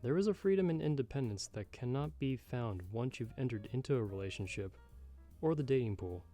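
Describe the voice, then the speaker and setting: Casting: male actor as a jaded, sardonic bartender for ASMR roleplay; dark mellow tone, mid pitch, measured and wry, music behind. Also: animated, lightly acted. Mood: earnest, measured. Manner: measured, wry, slightly formal and old-fashioned phrasing. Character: jaded, sardonic male bartender giving frank, fatherly advice. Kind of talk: ASMR roleplay, scripted monologue to the listener. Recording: background music under the voice.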